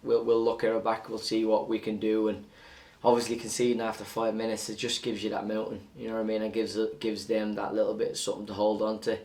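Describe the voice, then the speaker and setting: A man, a football coach giving a measured, plain-spoken post-match interview. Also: fairly low pitch, completely flat delivery, a little mellow, far from the mic.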